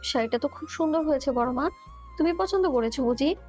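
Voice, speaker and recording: A woman's voice: high-pitched, expressive, a little fast, a little mellow, soft background music. A woman acting a sweet, girlish young woman in love in an audio story, on a slightly dull recording. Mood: eager.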